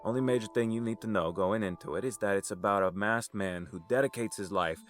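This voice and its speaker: A man's voice: on the low side, a little fast, soft background music, lightly acted. A man playing an easygoing, joking uncle figure in an audio drama. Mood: teasing, friendly. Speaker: voice actor.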